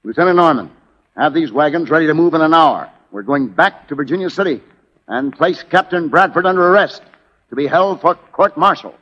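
An actor playing a stern, suspicious Union cavalry colonel in 1940s radio drama, brisk and authoritative. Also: male voice, a little high, animated. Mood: stern, angry. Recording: dull 1940s radio broadcast recording.

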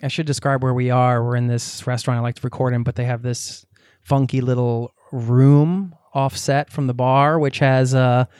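A man hosting a travel podcast in a relaxed, chatty way, talking in a small side room of a restaurant. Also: mid-range voice, moderate pace, clear, resonant tone, no echo, studio quality. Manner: relaxed, chatty, laughs easily. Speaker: podcast host. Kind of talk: casual interview chat.

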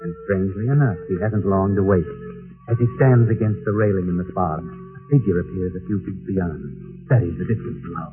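Brief: actor as an ominous night-walking narrator, low voice, tinny old radio with music. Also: male voice, natural voice. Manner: slow, ominous. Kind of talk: 1940s radio drama narration.

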